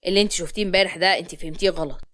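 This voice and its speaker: Woman voicing a lovestruck young Egyptian man, fast-talking. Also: lowish voice, a bit bright, lightly acted. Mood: earnest, pleading. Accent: Egyptian Arabic. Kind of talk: acted Egyptian romance audio story.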